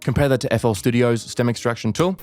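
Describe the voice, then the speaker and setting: A man's voice: medium pitch, quick pace, a little mellow. An upbeat young Australian man casually explaining music production as a tutorial host. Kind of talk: fast explanatory tutorial narration. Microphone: studio microphone.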